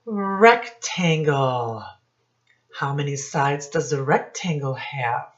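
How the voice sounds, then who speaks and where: A woman teacher speaking deliberately to young children in a read-aloud lesson, addressing them directly with questions. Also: low-pitched, animated. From United States. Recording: dull lo-fi sound.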